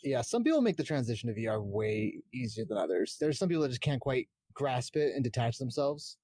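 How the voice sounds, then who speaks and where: A man's voice: medium pitch, big pitch swings, fairly quick, warm tone, slight background noise. A man chatting casually about games as a podcast panelist. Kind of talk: casual gaming chat.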